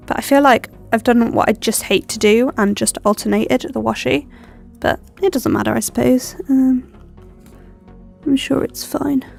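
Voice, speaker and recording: A woman's voice: sits high, vocal fry, darkish tone. A young woman with a stuffy cold, chatting and rambling with lots of ums as she decorates her planner. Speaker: planner vlogger. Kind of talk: chatty plan-with-me narration.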